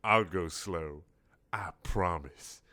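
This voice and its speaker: A man voicing a huge male giant squid, smooth-talking and domineering, in a hushed, persuasive, unhurried way for a comic story. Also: sits low, dry recording, voice pushed down, heavily acted. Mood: coaxing.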